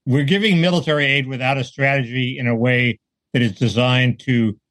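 A man's voice: medium pitch, steady tone, moderate pace, fairly bright tone, no room sound. Wry man in a dry, close room. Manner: wry, joking, laughs between points. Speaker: podcast co-host. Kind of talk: casual political chat.

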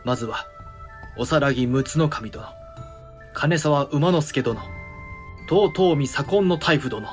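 A man acting a sober, authoritative samurai regent in a voice drama, formal, firm and measured. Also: on the high side, faint music behind. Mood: proclaiming. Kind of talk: voice drama acting in period Japanese.

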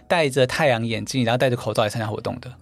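A young man, chatting as a podcast guest and explaining things affably with frequent quick agreeing asides. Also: medium pitch, creaky, dry recording. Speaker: podcast guest, event organizer. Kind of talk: casual interview chat.

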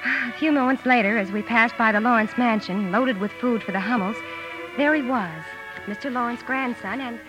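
A woman's voice: fairly high pitch, moderate pace, highs cut off, natural voice. Woman as proper young lady, music behind. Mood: wistful. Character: proper, fretful teenage elder sister, recalling her girlhood. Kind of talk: 1940s radio drama acting and storytelling. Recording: old radio broadcast with orchestral music.

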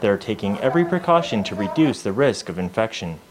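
A man narrating a news report in a measured, formal way. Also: lowish voice, big pitch swings, noisy background.

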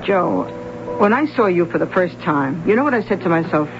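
A woman's voice: on the low side, animated, a little fast, natural voice. A woman in a radio drama playing a wisecracking motherly older bar owner, blunt and slangy, on an old broadcast recording. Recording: muffled old radio broadcast, music behind.

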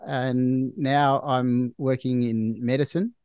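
An Australian man in his forties, a doctor being interviewed, talking in a measured, thoughtful way with frequent restarts. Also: mid-range voice, steady tone, clean tone, dry recording, muffled recording. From Melbourne, Australia. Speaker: guest, hospital doctor. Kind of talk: casual interview chat.

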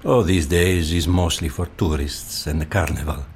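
A man playing a courteous, proud and enigmatic Venetian mask maker in an audio drama, measured, with an Italian accent. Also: deep voice, steady tone, vocal fry, a little hiss or hum. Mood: enigmatic, calm. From Venice, Italy.

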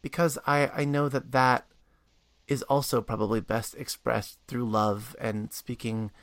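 A man's voice: medium pitch, flat delivery, unhurried, a bit bright, no echo. A man hosting a podcast interview, speaking earnestly and often repeating words as he searches for them. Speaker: podcast host.

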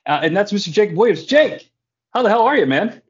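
Higher-voiced male podcast host, muffled audio. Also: big pitch swings, brisk. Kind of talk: casual comedic chat.

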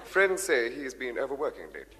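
An actor with a Received Pronunciation accent playing a formal, sober 1950s radio newsreader. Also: male voice, medium pitch, big pitch swings, quick pace, music in the background, a crowd in the background, natural voice. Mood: formal, grave. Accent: British Received Pronunciation. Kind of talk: 1950s radio news bulletin. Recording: old radio recording, long hall reverb.

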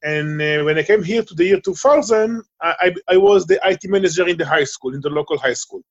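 A middle-aged Israeli man with a Hebrew-speaking background, speaking halting English with many fillers as he answers interview questions. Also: fairly high pitch, highs cut off. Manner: halting, searching for English words, frequent fillers. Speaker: guest. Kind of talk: remote interview conversation.

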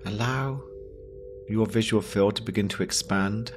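A man with a low voice leads a guided deep rest meditation. He speaks slowly and gently, leaving long pauses between short phrases. Meditation music with singing bowls plays behind him. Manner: slow, pausing, gentle instruction. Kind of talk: guided yoga nidra meditation.

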